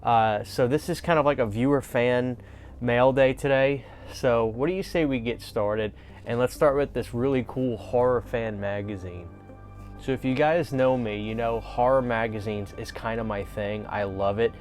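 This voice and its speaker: Male video host with an average-pitched voice: informal, enthusiastic fan chat over a music bed. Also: normal speed. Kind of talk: casual vlog commentary.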